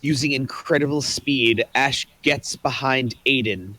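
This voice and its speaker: A man reads the stage directions in a plain, matter-of-fact way, at a mid pitch. The recording is dry and close, with no room sound. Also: measured pace, sharp tone, dry recording. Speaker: narrator.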